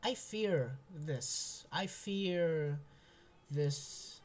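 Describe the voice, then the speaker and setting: Slow, halting young man. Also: a little high. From Indonesia. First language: Indonesian. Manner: hesitant, halting, full of ums and restarts; soft, searching, introspective. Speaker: college freshman. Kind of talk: personal venting monologue.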